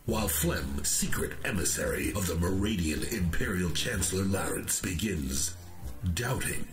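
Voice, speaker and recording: A man's voice: deep voice, lively intonation, normal speed. An actor playing a solemn male narrator, delivering a dramatic recap for a sci-fi comedy radio serial. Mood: grandly solemn. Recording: roomy echo under music.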